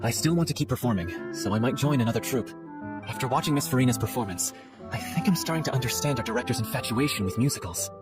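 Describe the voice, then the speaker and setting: An actor voicing an eager young theater troupe member in a video game, with an earnest, animated manner. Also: male voice, mid-range voice, quick pace, loud music behind the voice, lightly acted. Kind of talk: scripted video game voice acting.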